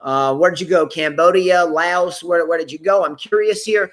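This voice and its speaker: A man's voice: a little high, moderate pace, a bit bright. A man co-hosting a casual live talk show, reading viewer comments aloud and picking apart their words. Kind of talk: casual live-stream chat.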